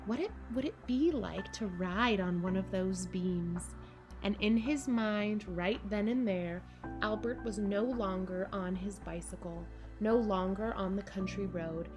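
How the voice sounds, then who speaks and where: A woman narrating a children's picture book in measured, deliberate phrases with dramatic pauses. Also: mid-range voice, music in the background. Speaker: storybook reader for children.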